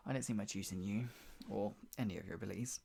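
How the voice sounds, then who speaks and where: A performer playing a possessive, sadistic yandere captor in an improvised ASMR roleplay, mocking and menacing, with a breathy voice that often drops toward a whisper. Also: sits low, mellow, exaggerated voice. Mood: teasing, cruel. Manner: menacing, mocking, slow drawn-out taunts broken by laughs and sighs.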